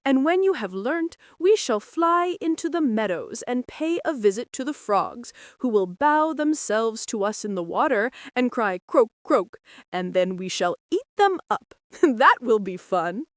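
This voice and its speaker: A woman's voice: on the high side, big pitch swings, unhurried, dry recording, studio quality. A woman voicing a patient, firm mother stork in a storybook, instructing and reassuring her young. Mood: patient, instructive.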